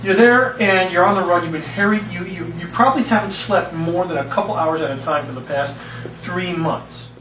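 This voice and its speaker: A male director with a fairly high voice, coaching actors in an informal, joking way. He sounds distant in a roomy space with faint noise. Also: moderate pace, a bit bright.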